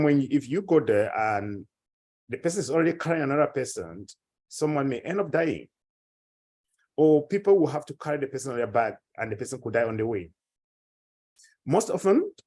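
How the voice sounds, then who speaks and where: A man from Cameroon giving a conference presentation, earnest and growing animated as he tells of his home village. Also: medium pitch. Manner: earnest, grows animated telling personal stories. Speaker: consortium director and professor.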